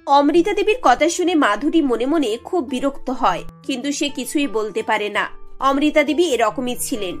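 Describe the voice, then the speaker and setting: A woman narrator with a high voice tells a cartoon story. The reading is scripted storytelling, with faint music behind it. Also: expressive, normal speed.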